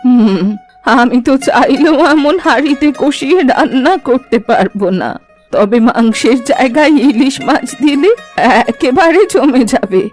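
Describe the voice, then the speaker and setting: A woman's voice: high voice, expressive, measured pace, darkish tone. Woman voicing a grumbling old village granny, music behind. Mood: pleased, musing. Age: older. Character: old village granny living alone, fond of food, grumbling. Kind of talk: Bengali cartoon voice acting.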